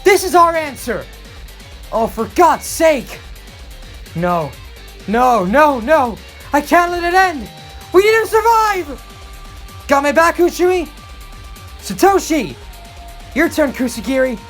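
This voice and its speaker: Someone voicing an impassioned teenage boy with lively pitch. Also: slow pace, pauses often, faint music behind, exaggerated voice. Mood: determined, urgent. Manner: emphatic, dramatic delivery. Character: earnest teenage boy animator, impassioned and protective leader. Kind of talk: anime video game voice lines.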